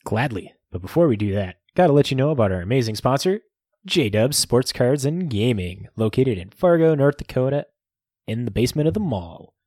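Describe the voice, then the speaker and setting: A man's voice: mid-range voice, big pitch swings, normal speed, a little mellow, dry recording, studio quality. A man hosting a podcast in a casual, joking way. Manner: laid-back, joking, searches for words. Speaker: podcast host.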